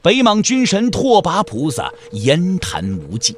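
A man's voice: sits high, wildly swooping pitch, a little slow, slight background noise. A man narrating a Chinese martial-arts audio drama in a scripted, dramatic storytelling style. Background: music.

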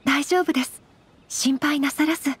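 A woman's voice: high voice, fairly even intonation, normal speed, slight background noise, lightly acted. A woman playing a poised young noblewoman and swordswoman in an anime game, formal, composed and very polite. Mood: gentle, courteous. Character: poised, courteous young noblewoman swordswoman, gentle but firm. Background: background music.

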